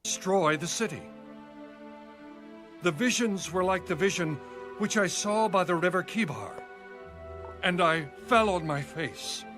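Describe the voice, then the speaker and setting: A man's voice: sits high, animated, a little slow, long pauses, music in the background. A man narrating scripture in a scripted, dramatic audio Bible style.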